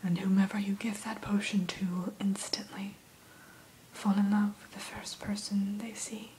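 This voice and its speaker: A woman speaks entirely in a soft, unvoiced whisper, close to the microphone, for an ASMR roleplay. She sits at a middle pitch and talks gently and slowly as a dragon hatchery keeper, guiding the listener through each dragon. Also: level tone, a little hiss or hum, poor audio. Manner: gentle, storytelling tone addressing the listener.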